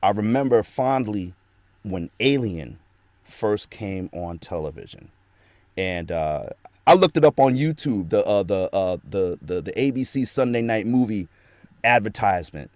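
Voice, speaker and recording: A man's voice: on the low side, lively intonation, unhurried, darkish tone, no room sound. A middle-aged man, a voice actor, chatting casually as an interview guest, telling nostalgic stories in a rambling way with many restarts.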